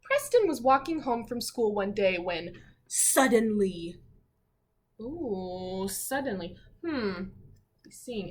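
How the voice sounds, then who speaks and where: Slow, high-voiced woman reading to children. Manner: expressive, dramatic pauses, thinking aloud to young listeners. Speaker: teacher reading a picture book aloud. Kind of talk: children's read-aloud with commentary.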